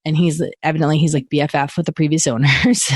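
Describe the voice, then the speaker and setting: A woman in her thirties co-hosting a casual podcast, chatty and quick to laugh, often trailing off mid-sentence. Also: low-pitched, steady tone, slightly rough, no room sound, studio quality. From United States. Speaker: podcast co-host. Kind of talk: casual chat.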